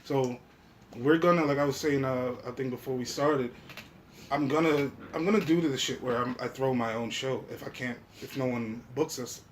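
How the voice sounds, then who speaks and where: Casual man chatting over clear background noise. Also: medium pitch, normal speed, rough recording. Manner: profane, slangy, informal talk. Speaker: podcast host. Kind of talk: casual podcast chat.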